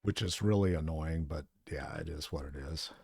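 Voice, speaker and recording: A man's voice: a bass voice, moderate pace, creaky, a little mellow, husky, no echo. A man chatting casually while playing an online game with a friend, rambling with many fillers and restarts. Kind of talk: casual gaming chat.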